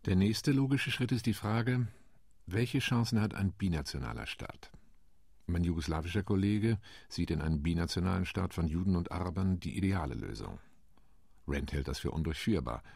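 A man playing a gravelly, measured diplomat. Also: low-pitched, animated, a little mellow, no echo, clean studio sound, exaggerated voice. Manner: measured, reporting. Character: sober male diplomat recounting committee talks. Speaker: UN commission delegate. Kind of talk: radio play monologue.

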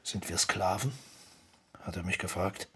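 An actor playing a proud, exacting baroque musician in a German radio drama, husky and creaky, with formal old-fashioned phrasing. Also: male voice, deep voice, level tone, unhurried, sharp tone, exaggerated voice. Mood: hushed, brooding. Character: proud, exacting baroque musician, defensive and devoted to his art.

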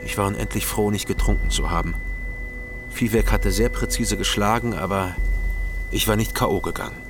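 Low-voiced brooding detective narrator played by a man. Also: lightly acted. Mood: resolute. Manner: measured, sombre. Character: brooding, world-weary male detective narrating his story. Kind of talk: first-person noir radio drama narration. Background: prominent music.

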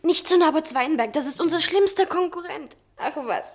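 An actress playing a brisk, practical young runaway daughter in a German radio play. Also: female voice, sits high, expressive. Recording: vintage 1960 radio studio recording.

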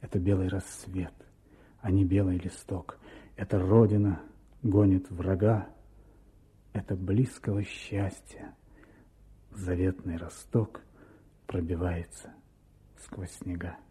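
A grave former soldier telling his memories in a Soviet radio play. The voice is low, very husky and soft, with measured, solemn delivery. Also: little pitch movement, full character voice. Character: grave, reflective war veteran remembering a lost comrade. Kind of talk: dramatic first-person narration, poetry reading.

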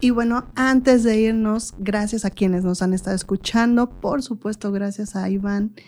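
A woman's voice: mid-range voice, steady tone, moderate pace, darkish tone, faint music behind. A woman with a central Mexican accent hosting a casual radio interview. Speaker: radio host.

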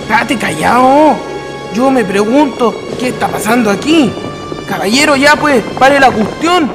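A man's voice: very high-pitched, lively intonation, unhurried, vocal fry, exaggerated voice. A man with a Chilean accent playing a grumbling, tipsy village traveller in a horror radio drama. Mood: grumbling, worried. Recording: radio play with music underneath.

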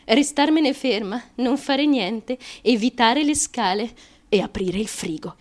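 A woman's voice: fairly high pitch, lively intonation, a little slow. A woman playing an obsessive, driven young daughter in a theatrical radio monologue, delivered as clipped lists in short bursts. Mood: grim, compulsive. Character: obsessive, driven daughter feverishly chanting her binge-eating.

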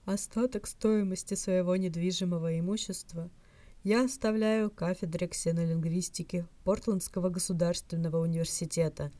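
A woman voicing a dignified, sly old male scholar's hologram in a fan fiction reading, formal, measured and soft. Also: on the low side, steady tone, dry recording, lightly acted. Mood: solemn, formal.

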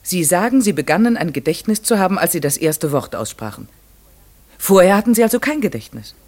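A man's voice: high-pitched, very animated, fairly quick, darkish tone. An actor playing a curious, courteous, methodical man in a classic radio play, speaking in a careful, articulate and inquisitive way. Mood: puzzled, insistent. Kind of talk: classic German radio play, narration and dialogue.

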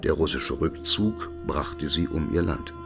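Deep-voiced learned expert witness. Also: male voice, wildly swooping pitch, gravelly, over music, heavily acted. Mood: sober, lecturing. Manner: formal, deliberate, lecturing. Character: learned male expert witness giving sober testimony. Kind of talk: radio drama courtroom statement.

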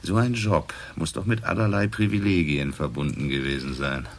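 A man's voice: extremely low pitch, steady tone, normal speed. A man acting a shrewd, laconic police inspector in a radio crime drama, speaking in a terse, probing, dry way. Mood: probing, musing.

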